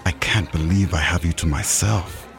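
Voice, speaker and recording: An actor playing an elegant, flattering courtly suitor in a fantasy audio drama, with a suave, formal, flirtatious manner. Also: male voice, low-pitched, normal speed, gravelly, over music, a crowd in the background.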